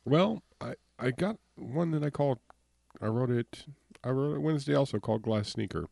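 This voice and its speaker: A man hosting a poetry radio show, chatting in a jokey, animated way. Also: mid-range voice, normal speed, vocal fry, no room sound, studio quality. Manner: jokey, animated, talks to a co-host. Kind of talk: casual radio chat.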